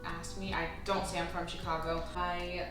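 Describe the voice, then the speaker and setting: A woman's voice: on the low side, little pitch movement, normal speed. A woman in her twenties from Florida, chatting casually and laughing often as she hosts a couple's vlog. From Florida, United States. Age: 20s. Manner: giggly, chatty. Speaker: couple vlogger. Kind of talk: casual chat. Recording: echoey room, distant mic, music behind.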